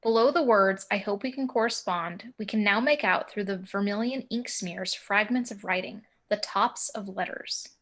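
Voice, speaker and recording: A woman narrates a screenplay's action and scene headings. Her voice is very bright and forward, at an average pitch for a woman. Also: normal speed, few pauses. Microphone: home video call.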